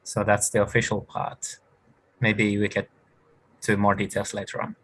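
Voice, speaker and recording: A man's voice: fairly low pitch, monotone, moderate pace, fairly bright tone, husky, low-quality recording. A man from Finland, a university lecturer, speaking thoughtfully with small hesitations on an online panel. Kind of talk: panel discussion.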